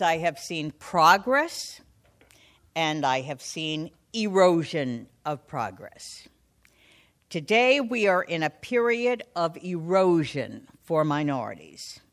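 Older woman panelist, unhurried, lively pitch, deliberate and dramatic. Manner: slow, deliberate, dramatic emphasis. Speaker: veteran broadcast journalist, panelist. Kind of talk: panel talk. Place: conference hall.

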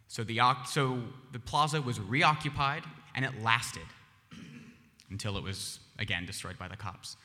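Argumentative man, bright voice. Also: a little high, long reverb tail. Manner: argumentative, repeats phrases for emphasis. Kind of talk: public debate speech.

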